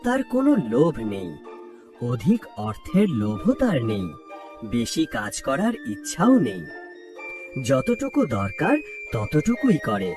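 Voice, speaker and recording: A man's voice: a little high, huge pitch swings, a little slow, recorded from a distance, music in the background. A man narrating a village folk tale cartoon in a scripted storybook style. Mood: storytelling.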